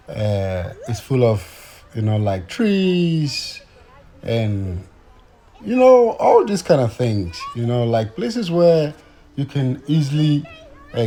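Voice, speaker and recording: A man's voice: mid-range voice, dark tone. Ghana-born man vlogging, hesitant. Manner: hesitant, many fillers and restarts. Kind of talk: casual vlog chat.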